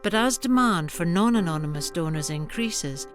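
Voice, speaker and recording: Low-voiced woman narrating over music. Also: lively intonation, moderate pace. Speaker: documentary narrator. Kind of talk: scripted narration. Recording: music under the voice.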